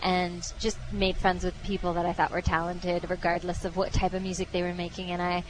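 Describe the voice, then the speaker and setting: A woman singer-songwriter giving relaxed, casual interview answers at an outdoor fairground. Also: fairly low pitch, fairly quick, rough recording. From Canada. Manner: relaxed, laughs easily, uses um and like.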